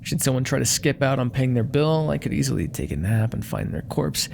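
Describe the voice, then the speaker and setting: Male actor as silky demon crime lord, widely swinging pitch, gravelly creak, vain, condescending, ASMR roleplay. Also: animated, a little fast, noisy background. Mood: calm, calculating. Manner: theatrical, condescending, coolly threatening with mock affection. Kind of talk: ASMR audio roleplay monologue.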